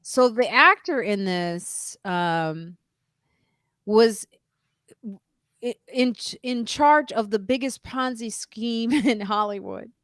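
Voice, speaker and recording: A woman's voice: on the high side, slow pace, a bit bright, clean tone, no room sound, clean studio sound. A woman hosting a comedy podcast, telling rambling stories in casual chat. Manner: chatty, rambling storyteller.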